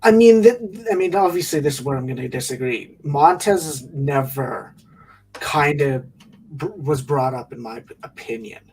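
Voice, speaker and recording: A man's voice: medium pitch, normal speed, darkish tone, breathy edge, recorded from a distance, poor audio. A man co-hosting a podcast who argues his point and often trips over his own words. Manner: argumentative, stumbles over words. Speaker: podcast co-host. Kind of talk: casual podcast chat.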